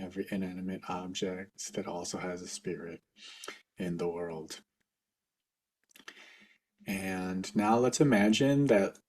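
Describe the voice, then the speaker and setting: Male meditation facilitator, husky, very level intonation, reassuring, informal, unhurried. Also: fairly low pitch, dark tone. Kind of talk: guided meditation, spoken slowly and informally to a group.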